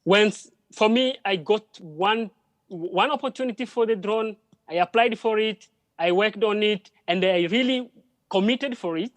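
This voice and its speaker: Halting man, high voice. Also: no room sound. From DR Congo. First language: French. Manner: halting, with frequent restarts and repeated words. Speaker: panel guest, drone project worker. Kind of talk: live panel conversation.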